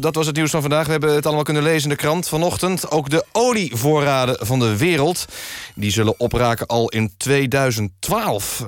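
A man hosting a satirical radio news magazine, presenting mock-serious news in a deadpan manner. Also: medium pitch, no room sound. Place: radio studio.

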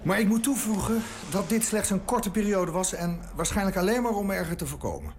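An actor playing an earnest minister loyal to the crown in a radio drama, defensive and hesitant. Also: male voice, high voice, very animated, a little fast. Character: excitable, loyal male government minister.

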